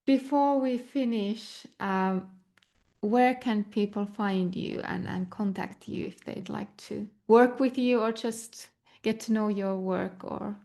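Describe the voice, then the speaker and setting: Finnish-accented woman host, mid-pitched, gravelly, encouraging. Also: takes it slowly. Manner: warm and encouraging, laughs often. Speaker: podcast host. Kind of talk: casual interview chat.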